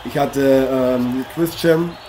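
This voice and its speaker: A man's voice: mid-range voice, fairly even intonation, slow, lightly acted. A man playing a chatty, joking boxing interviewer and commentator in an improvised mock sports radio play, rambling and hesitant. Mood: rambling, genial. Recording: clearly noisy amateur recording.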